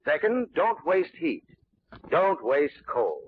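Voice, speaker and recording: A man's voice: fairly high pitch, very animated, slow pace, husky. A man giving a scripted 1940s radio commercial as a folksy, instructive expert. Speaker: advertising spokesman, heating expert. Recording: tinny 1940s radio recording.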